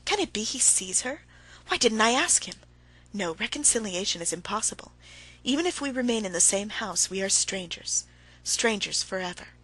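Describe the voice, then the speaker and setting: A woman's voice: mid-range voice, lively intonation, normal speed, bright, forceful tone, breathy edge, low-quality recording. A woman voicing an anguished, betrayed wife who cries out in rising, heated protest. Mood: grieving.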